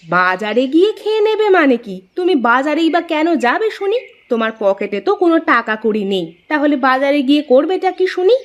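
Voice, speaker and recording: A woman's voice: high-pitched, very animated, brisk. A woman voicing a poor farmer's devoted, fussing wife in a moral-story cartoon, on a dull recording. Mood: scolding, fussing.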